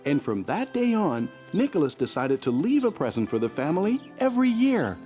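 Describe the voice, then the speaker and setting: Gentle cartoon dad, soothing n patient, voice swoops a lot. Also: male voice, a little high, very animated, normal speed, soft background music. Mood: storytelling. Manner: soothing, encouraging. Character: gentle, patient young father at bedtime. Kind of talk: children's cartoon acting, storybook telling.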